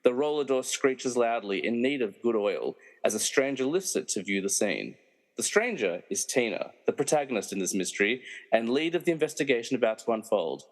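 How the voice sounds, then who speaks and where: A man's voice: medium pitch, sing-song, fairly quick. A man playing an opinionated, wry narrator who reads scene directions for a comedy mystery radio play. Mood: wry, scene-setting. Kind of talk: scripted narration. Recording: long echoing room reverb.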